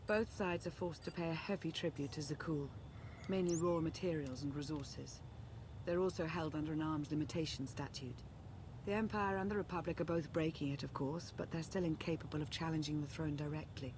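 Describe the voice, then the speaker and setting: An actress playing a composed, earnest female Sith strategist and loyal ally in a video game, speaking in a measured, formal, articulate way. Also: sits low, background noise, close to an everyday voice. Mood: grave, composed. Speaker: advisor and ally. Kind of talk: scripted game dialogue, briefing.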